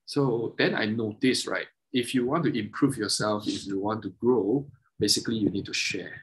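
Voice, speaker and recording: A man whose first languages are Mandarin and Cantonese talks through a video call. His voice is low and husky, and he halts and repeats himself while working through technical explanations. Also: a bit bright, low-quality recording. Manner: halting, many restarts and fillers, explains technically. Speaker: guest, double bassist and violin maker. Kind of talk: casual interview over video call.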